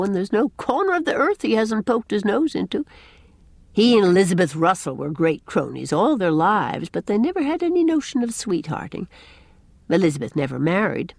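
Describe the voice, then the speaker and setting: A brisk village spinster of middle age, full of opinions and gossip, voiced by a woman reading a storybook. Her delivery is folksy and emphatic, with very lively rises and falls. The sound is dry, with no room around it. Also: medium pitch, vocal fry, no echo, voice pushed up. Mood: gossipy, confiding.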